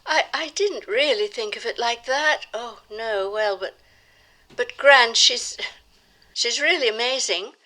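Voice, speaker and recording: A woman's voice: fairly high pitch, measured pace, bright, forceful tone. A woman playing an earnest, naive young activist granddaughter in an audio drama, stammering and hesitating in her eagerness. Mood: defensive, flustered.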